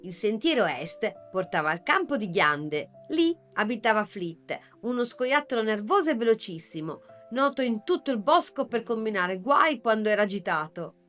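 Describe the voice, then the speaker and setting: A woman narrating a children's storybook in a gentle, measured voice at a mid pitch. She leans into pauses to build quiet suspense. Soft music plays underneath. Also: sing-song.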